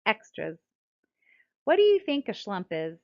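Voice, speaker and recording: A woman's voice: mid-range voice, wildly swooping pitch, unhurried. American woman reading aloud, slightly muffled recording. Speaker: children's book author reading her own book. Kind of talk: scripted read-aloud storytelling and narration. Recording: dull, lo-fi sound.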